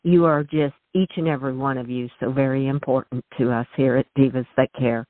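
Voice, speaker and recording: A woman's voice: rumbling low, fairly even intonation, a little fast, no room sound. A woman hosting a radio show, giving listeners and her guest a gracious, effusive welcome. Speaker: host. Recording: tinny phone line, dry.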